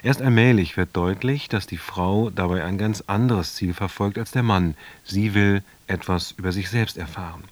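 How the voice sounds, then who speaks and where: A man's voice: low voice, normal speed, few pauses. A man reading a scripted introduction as a radio announcer.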